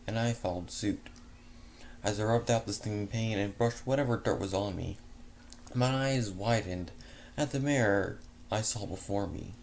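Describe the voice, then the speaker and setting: A man narrates a first-person story, reading it aloud with plenty of stumbles and quick self-corrections. His voice is low and gravelly with noticeable fry. A faint background hiss sits under the reading. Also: darkish tone. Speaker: fanfiction reader.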